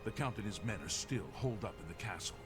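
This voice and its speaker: An actor playing a grim, gruff male guard captain defending a burning city, urgent and despairing, over game music. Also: fairly low pitch, expressive, quick pace, natural voice. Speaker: soldier commander. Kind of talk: video game voice acting.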